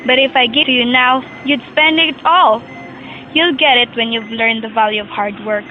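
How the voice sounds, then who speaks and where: High-voiced stern old father, acted by a young woman. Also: normal speed, lightly acted. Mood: ailing, resolute. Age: young adult. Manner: lecturing, admonishing. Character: stern, ailing old rich father of two sons. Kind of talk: amateur student audio drama. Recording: muffled amateur recording with music.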